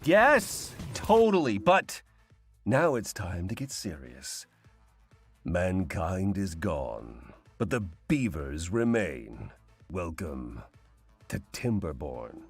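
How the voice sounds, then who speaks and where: A man presents a video game showcase as a scripted comic co-host. His delivery is playful and theatrical, with extremely animated intonation. Music plays behind his voice. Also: deep voice, slow, slightly rough.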